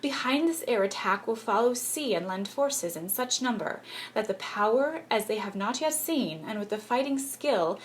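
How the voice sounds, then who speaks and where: A woman reading a historical political speech aloud in a solemn, declamatory, deliberate manner, like an actress delivering a formal address. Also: fairly high pitch, animated, slight background noise.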